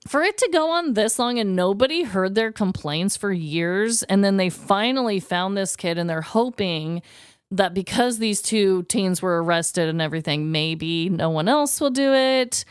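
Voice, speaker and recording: A woman's voice: medium pitch, expressive, moderate pace, smooth, dry recording, studio quality. A woman co-hosting a podcast, telling a story in casual, slangy, emphatic chat with a comedic slant. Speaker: podcast co-host.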